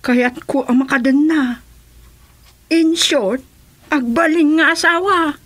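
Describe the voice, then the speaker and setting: Woman acting a high-pitched, slow-talking rebel commander. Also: fairly bright tone. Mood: scheming. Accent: Ilocano. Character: bossy female rebel commander, brazen and man-hungry. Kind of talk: comedic radio drama acting.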